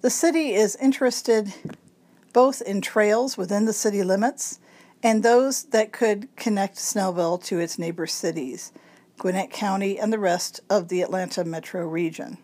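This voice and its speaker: A woman reads a magazine piece aloud in a raspy voice, thickened by congestion. She takes it slowly and carefully, shaping each word clearly for listeners who cannot read the page. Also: medium pitch, darkish tone. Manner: slow, careful enunciation. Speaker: volunteer reader of a local magazine. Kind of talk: reading a magazine article aloud.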